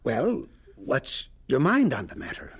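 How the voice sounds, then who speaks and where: An actor playing a proud, stuffy, easily flustered college president in a radio drama, with a hesitant, sputtering delivery. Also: male voice, mid-range voice, wildly swooping pitch, measured pace, slightly rough, exaggerated voice. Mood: flustered, pleading. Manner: hesitant, sputtering, self-important.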